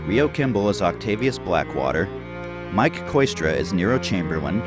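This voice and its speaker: A man delivers scripted announcements for an audio program in a fairly low voice. Cello music plays clearly underneath him as he reads. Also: big pitch swings, normal speed. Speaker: credits announcer. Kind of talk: scripted narration. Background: bowed string music, cello.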